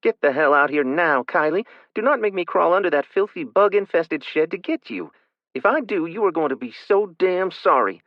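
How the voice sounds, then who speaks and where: A man voicing a furious, bossy teenage girl who yells and scolds her little sister. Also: sits high, huge pitch swings, extremely slow, dry recording, highs cut off, voice pushed up, heavily acted. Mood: angry yelling. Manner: yelling, cussing, scolding.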